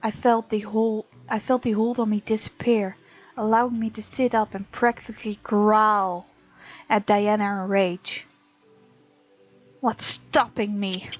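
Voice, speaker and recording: A woman's voice: medium pitch, little pitch movement, measured pace, muffled recording. A woman hosting a let's play, reading game text aloud and reacting casually and expressively. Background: faint game music.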